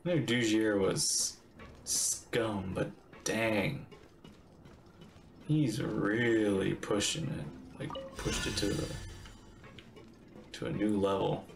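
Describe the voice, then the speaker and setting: A man's voice: on the low side, slow, pauses often. A middle-aged man casually commenting and reading aloud as he streams a video game. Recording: game music clearly behind.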